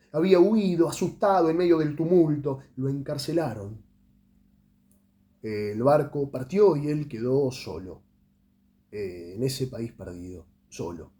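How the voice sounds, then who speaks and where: A man reads a literary short story aloud in a dramatic way, letting phrases trail off into pauses. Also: on the high side, mellow. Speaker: audiobook reader.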